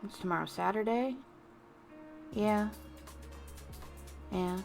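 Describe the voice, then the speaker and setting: A woman's voice: mid-range voice, slow, vocal fry, a little mellow. A woman livestreamer chatting casually to viewers in a rambling, self-deprecating way, with music behind her. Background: background music.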